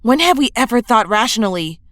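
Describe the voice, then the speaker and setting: A woman's voice: on the high side, expressive, a little fast, a bit bright, no echo, studio quality. A woman acting an earnest, idealistic young superhero in an audio drama, reflective and sincere. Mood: earnest, pleading.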